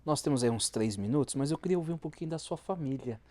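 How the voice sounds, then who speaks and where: Male interviewer, mellow mid-pitched voice, gently prompts the guest. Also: animated, fast talker.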